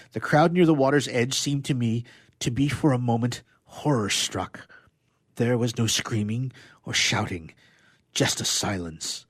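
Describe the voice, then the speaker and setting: A man reading an excerpt from a novel in a measured, expressive, dramatic narrating style. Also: medium pitch, no echo. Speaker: radio player reading a literary excerpt.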